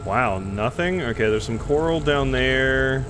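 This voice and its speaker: A man talking through a game as he plays, chatty and rambling with quick reactions. His voice sits in a middle pitch, and a faint cough from a cold comes through now and then. Game noise plays clearly in the background. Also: animated. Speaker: streamer. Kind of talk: casual gameplay commentary.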